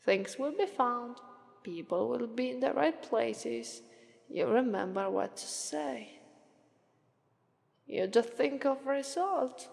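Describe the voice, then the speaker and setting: A woman with an Italian accent voicing a languid, aloof, coolly persuasive sloth demon in a slow deadpan ASMR roleplay monologue. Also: fairly high pitch, creaky, exaggerated voice. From Italy. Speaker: voice actress. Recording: long reverberant echo on voice.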